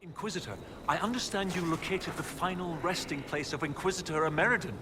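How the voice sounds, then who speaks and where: An actor playing an excitable, earnest scholar in a video game, talking in a fussy, polite, stammering way. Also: male voice, on the high side, fairly quick, noisy background, lightly acted. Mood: flustered, anxious.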